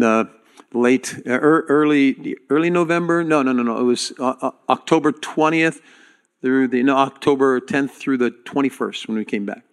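A man preaching to his congregation as their pastor, speaking earnestly and directly with halting restarts. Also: medium pitch. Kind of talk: sermon.

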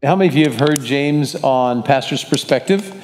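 A man's voice: medium pitch, normal speed, soft background music. A male pastor leading a casual Bible study for his congregation, talking in a rambling way and correcting himself often. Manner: warm, rambling, self-correcting delivery. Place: church sanctuary with congregation. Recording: long hall reverb.

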